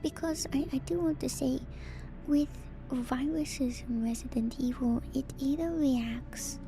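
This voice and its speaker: Slow, whispering ASMR woman. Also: high voice. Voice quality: soft, breathy whisper. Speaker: streamer hosting an ASMR lore stream. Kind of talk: whispered ASMR, casual commentary. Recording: music playing behind the voice. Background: music.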